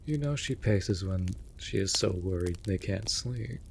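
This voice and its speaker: An actor playing a mage's raven familiar in a fantasy audio drama, theatrical and formal, scolding but caring. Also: male voice, low-pitched, animated, measured pace, creaky, a little hiss or hum, full character voice. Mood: chiding, caring. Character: male raven familiar of a storm mage, exasperated but devoted. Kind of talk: scripted fantasy audio roleplay.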